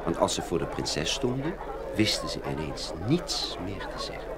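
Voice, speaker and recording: A man narrating a fairy tale in an expressive, scripted storytelling style. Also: sits low, moderate pace, heavy background noise.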